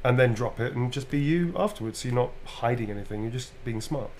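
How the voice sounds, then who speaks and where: A British man talks casually through fan questions, rambling a little and correcting himself as he goes. His voice sits at an ordinary middle pitch. A faint hum runs underneath. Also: lively intonation, fast, darkish tone. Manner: chatty, loose and informal, full of ums and self-corrections. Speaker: voice actor answering fan questions. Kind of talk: casual live Q&A.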